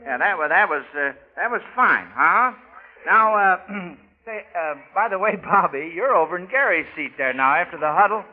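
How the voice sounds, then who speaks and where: High-voiced American quizmaster on old radio. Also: male voice, animated, normal speed. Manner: hesitant, folksy, chuckling, repeats himself. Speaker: quizmaster hosting a children's radio quiz. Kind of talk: old-time American radio quiz hosting. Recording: narrow-band old radio broadcast.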